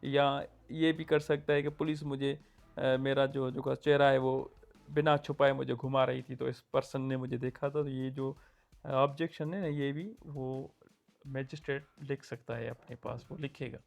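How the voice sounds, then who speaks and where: A man with a Pakistani Urdu accent explaining legal procedure point by point, pausing with fillers. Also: medium pitch, creaky, faint music behind. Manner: steady, numbered points, frequent fillers. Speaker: law lecturer. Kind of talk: explanatory lecture.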